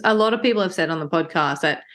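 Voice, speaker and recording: A woman's voice: lowish voice, fast talker, fairly bright tone. A woman hosting a podcast, enthusiastic and affirming in casual conversation, laughing often. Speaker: podcast host.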